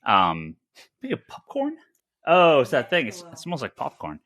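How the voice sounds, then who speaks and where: A man's voice: mid-range voice, wildly swooping pitch, normal speed, fairly bright tone. A man hosting a casual comics podcast, talking emphatically and with strong opinions, often restarting and repeating phrases. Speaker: podcast host, comic store owner.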